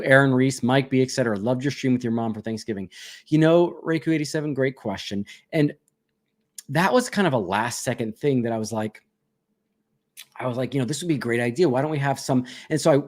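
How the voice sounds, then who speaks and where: A man in a relaxed livestream, reading viewer comments aloud and answering them in an easygoing, chatty way. He laughs often, and his voice sits in an ordinary middle pitch for a man. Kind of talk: casual live Q&A chat.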